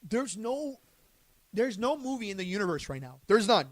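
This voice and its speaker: A man's voice: sits high, very animated, moderate pace, no room sound. A man co-hosting a podcast, arguing his points in casual chat, emphatic and often restarting his sentences. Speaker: podcast co-host.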